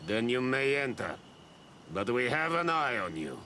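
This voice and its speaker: A man playing a gruff, distrustful guard of a fantasy clan in a video game. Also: medium pitch, very animated, normal speed, sharp tone, a little hiss or hum. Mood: stern, suspicious.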